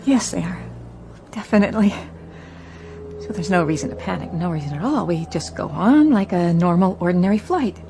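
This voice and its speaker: A woman's voice: lowish voice, expressive, normal speed, creaky, noisy background. A woman acting in a radio drama as a nervous junior flight attendant, anxious and full of questions.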